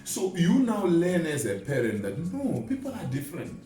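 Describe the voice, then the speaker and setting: Echoey, expressive man in conversational motivational talk. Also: a little high, moderate pace. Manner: illustrates points with everyday examples and imagined quotes. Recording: echoey room, distant mic, music underneath. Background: music.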